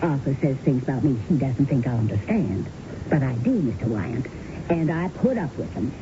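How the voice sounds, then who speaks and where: A woman's voice: very deep voice, sing-song. An actress playing a chatty, fussy, restless older Southern wife in a radio drama, rambling and drawing out her words. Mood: wry, resigned. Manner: rambling, complaining, drawn-out words. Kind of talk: 1970s radio drama acting. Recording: noisy, muffled old radio broadcast recording.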